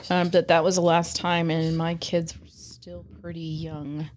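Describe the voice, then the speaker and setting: A woman in her fifties, a podcast guest chatting warmly about her childhood and laughing often. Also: fairly low pitch, flat delivery, a little slow, slight background noise. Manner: warm, laughs often while reminiscing. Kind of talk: casual reminiscing chat.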